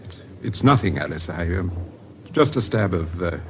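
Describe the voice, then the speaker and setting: Deep-voiced, guarded British gentleman. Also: expressive, normal speed. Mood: uneasy, guarded. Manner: hesitant, evasive. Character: guarded, nervous British gentleman hiding his unease. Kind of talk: radio drama acting. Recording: clear background noise, busy ambience.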